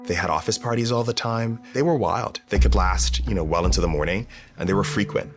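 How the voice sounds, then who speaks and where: Deep-voiced man from the Bahamas. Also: a little fast. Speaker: local interviewee. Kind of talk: documentary interview. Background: documentary score music.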